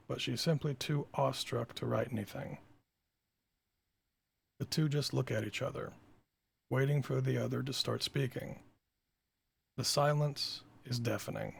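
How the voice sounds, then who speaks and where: Male writer-director for table-read narration. Heavy vocal fry, raspy tone, informal and self-deprecating. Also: mid-range voice.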